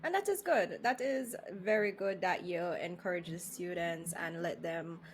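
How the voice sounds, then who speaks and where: A woman's voice: lowish voice, a little slow, slight background noise. A Jamaican woman hosting a podcast, asking questions in a chatty way, laughing easily and mixing in Creole. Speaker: podcast host, nurse. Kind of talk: casual podcast interview.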